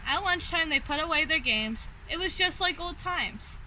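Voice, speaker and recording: A woman reading a children's picture book aloud as an expressive storyteller. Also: very high-pitched, moderate pace, slight background noise, low-quality recording.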